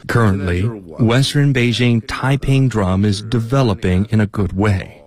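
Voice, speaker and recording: A man with a fairly low voice reads a translated voice-over. His delivery is plain and measured. It sounds like a studio dub over an interview. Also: darkish tone. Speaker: drum troupe member, dubbed English voice-over.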